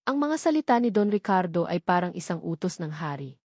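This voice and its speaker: A woman's voice: lowish voice, big pitch swings, a little fast, a little mellow, no room sound, clean studio sound. A woman reads a scripted romance story as narrator, in a dramatic, emphatic storytelling manner.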